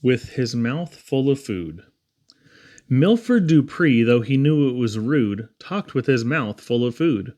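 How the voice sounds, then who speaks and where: A man reading humorous children's poetry aloud in a theatrical, rhythmic, expressive way. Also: medium pitch, slow pace. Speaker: poetry reader.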